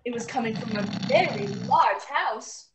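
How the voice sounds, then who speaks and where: A woman's voice: a little high, animated, moderate pace. A woman reading scripted storybook narration over a video call from a bedroom. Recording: noisy, echoey video call audio.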